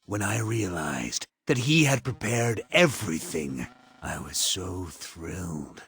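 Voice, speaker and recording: An actor playing a cold, self-satisfied scientist villain in a video game, delivering a theatrical, gloating monologue with drawn-out emphasis. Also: male voice, deep voice, expressive, gravelly, fairly bright tone, heavily acted. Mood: exultant. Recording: echoey, music underneath.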